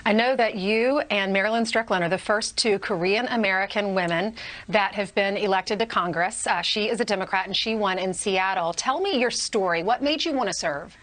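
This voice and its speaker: Upbeat lady anchor on a morning news show, asks questions quick. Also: mid-range voice, fairly bright tone. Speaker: TV host.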